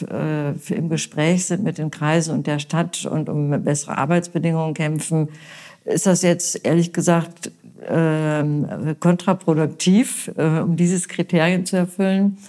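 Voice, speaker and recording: A woman from Westphalia gives her answers as a local election candidate. She speaks in an earnest, considered way, often stopping to fill pauses and restart her sentences. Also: on the low side, dark tone. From Westphalia, Germany. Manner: earnest, hesitant with many fillers. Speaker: mayoral candidate. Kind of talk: political interview answers.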